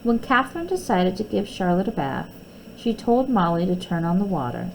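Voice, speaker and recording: Female storybook reader, lively intonation, touch of creak, faint background noise. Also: mid-range voice, normal speed, poor audio. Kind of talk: children's story read aloud.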